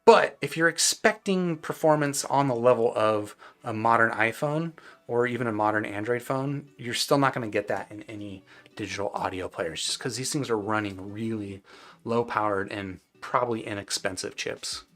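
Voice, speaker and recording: A man's voice: medium pitch, normal speed, a bit bright. A man hosting a casual live stream, answering viewers in a conversational, hedging and self-deprecating way. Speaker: host of a live-streamed audio gear review. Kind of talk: casual livestream chat, reading and answering viewer questions.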